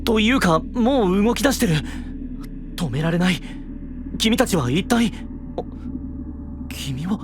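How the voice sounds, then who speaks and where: Casting: courteous young fantasy knight, slightly high, lively pitch, earnest and gentle. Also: male voice, slow pace, long pauses, noisy background, full character voice. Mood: surprised. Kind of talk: Japanese anime-style audio drama acting.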